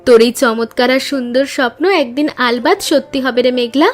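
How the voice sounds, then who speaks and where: A woman acts a cheerful elder sister from a village cartoon. Her high voice is earnest and encouraging, and music plays behind it. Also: fairly even intonation, a little fast, natural voice. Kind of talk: cartoon story dialogue.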